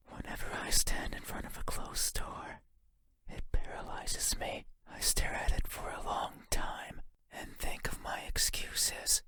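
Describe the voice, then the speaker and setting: A man voicing a lonely, curious shadow creature in a horror game, speaking in a breathy, halting, intimate whisper that is softly insistent. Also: close to an everyday voice. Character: eerie wandering shadow creature, lonely, curious, longing to be seen.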